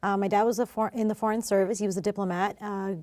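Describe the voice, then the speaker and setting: A woman with a medium-pitched voice talks casually as an interview guest, sharing personal stories in a chatty flow with light laughs here and there. The sound is dry, with very little room. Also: fairly even intonation, quick pace, a little mellow, no echo. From Philippines and New Zealand. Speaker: interview guest, singer and worship director.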